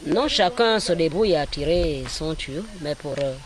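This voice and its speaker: A man gives brief, hesitant answers outdoors. His voice sits fairly high. A crowd is clearly heard behind him. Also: expressive, moderate pace. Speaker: resident. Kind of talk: on-street interview.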